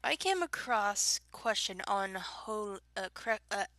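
Giggly Southern woman, slow and bright. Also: medium pitch, expressive, no echo. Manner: giggly and rambling, with many restarts. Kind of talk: casual chat recorded alone.

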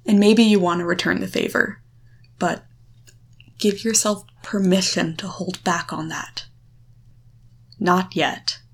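A woman's voice: lowish voice, fairly even intonation, gravelly, slightly rough. Woman leading a guided visualization, reassuring. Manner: instructive, reassuring, with short deliberate phrases. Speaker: guide leading a guided erotic confidence exercise. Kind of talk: guided visualization, second-person coaching.

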